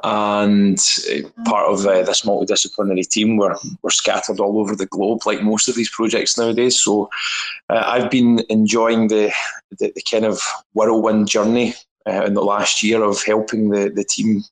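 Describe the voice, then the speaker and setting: Flat-toned Scottish man. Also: on the low side, normal speed, low-quality recording. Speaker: head of marketing. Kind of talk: casual panel chat.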